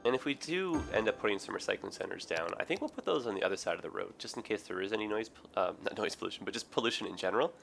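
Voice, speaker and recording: A man with a raspy, hoarse, tired voice, rambling through casual game commentary with many ums and self-corrections. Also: fairly low pitch, lively intonation, very fast, few pauses, fairly bright tone, soft background music. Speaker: gaming video host. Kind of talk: casual let's play commentary.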